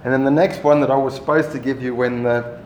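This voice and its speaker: A man running a training workshop, giving relaxed, rambling instructions to the group. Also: medium pitch, fast. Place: meeting room. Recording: echoey room, faint noise.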